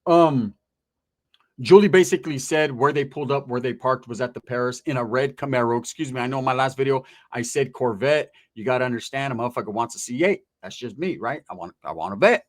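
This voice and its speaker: A man's voice: medium pitch, a little fast. A man hosting informal true-crime commentary, talking straight to viewers in emphatic street slang with rhetorical questions and some swearing. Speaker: online commentary host.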